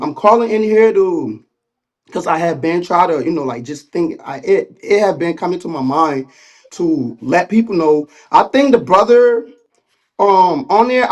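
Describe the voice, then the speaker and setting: Rambling high-voiced male caller. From Liberia. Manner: rambling, with many fillers. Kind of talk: casual call-in comment.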